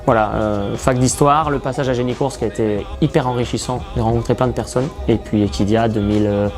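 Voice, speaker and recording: A man in his thirties from France speaks in an earnest, hesitant way, pausing often as he gathers his thoughts. His voice sits in an ordinary middle pitch. Faint music plays quietly behind him. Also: fairly even intonation. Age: 30s. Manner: earnest, self-described shy, frequent hesitations. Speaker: horse racing commentator. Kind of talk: interview answers.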